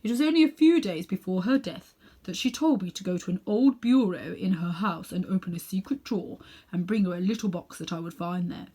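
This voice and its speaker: Woman acting middle-aged gentleman magician, pompous, condescending, sinister, self-important, drawn-out phrasing, storybook villain. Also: fairly low pitch, husky. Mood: confiding. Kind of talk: children's storybook character reading.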